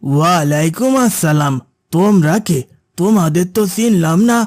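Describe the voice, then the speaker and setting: High-voiced, suspicious village man played by an actor. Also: normal speed, darkish tone, no echo, clean studio sound, lightly acted. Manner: curt, accusing. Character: suspicious, curt village man who dislikes city folk. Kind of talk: cartoon character dialogue.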